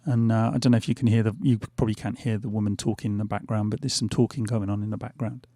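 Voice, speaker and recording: Gravelly, hesitant man. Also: lowish voice, level tone, fast, mellow, dry recording, studio quality. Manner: rambling, hesitant, many fillers and restarts. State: losing his voice. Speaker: gaming channel host. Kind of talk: casual gameplay commentary. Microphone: headphones on, desk mic.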